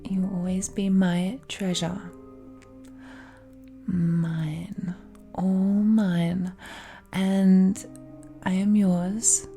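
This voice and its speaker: A woman's voice: medium pitch, flat delivery, extremely slow, long pauses, warm tone, faint music behind. A woman playing an affectionate, possessive dragon-blooded fiancée in an intimate romantic audio roleplay, murmuring tenderly and a little hesitantly. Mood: devoted, possessive.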